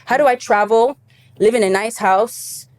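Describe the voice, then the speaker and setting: A young adult woman from Cameroon, a guest in an interview, telling her story in a lively casual way and acting out her younger self. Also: a little high, moderate pace. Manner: animated storytelling, acts out her younger self, laughs easily.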